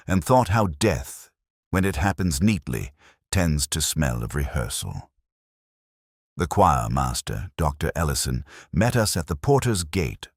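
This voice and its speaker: A man reads a scripted first-person detective story in a measured, literary way with deliberate pauses. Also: very deep voice, no echo, studio quality. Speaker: narrator.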